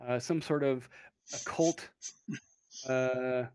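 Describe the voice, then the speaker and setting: A game master improvising narration for a tabletop roleplaying game, pausing often to think. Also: medium pitch, steady tone, darkish tone, smooth, dry recording.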